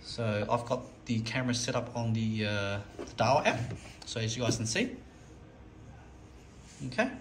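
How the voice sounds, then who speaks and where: Halting man demonstrating in an echoey room. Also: mid-range voice, lively intonation. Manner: halting, repetitive, addressing viewers as guys. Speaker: product demonstrator. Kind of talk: unscripted product demo. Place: indoor room, desk demo. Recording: echoey room with background noise.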